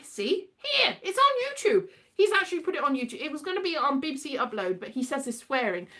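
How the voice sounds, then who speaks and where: A woman's voice: high-pitched, sing-song, fairly quick, pushed and bright. A British woman chatting casually in a whimsical, digressive monologue, often turning playfully dramatic. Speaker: performer and vlogger.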